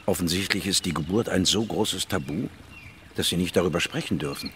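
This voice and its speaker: An actor playing an inquisitive, wry male biologist, narrating in a measured, dry manner in a radio drama. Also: deep voice, fairly bright tone, a little hiss or hum. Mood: probing. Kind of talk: scripted first-person radio drama narration.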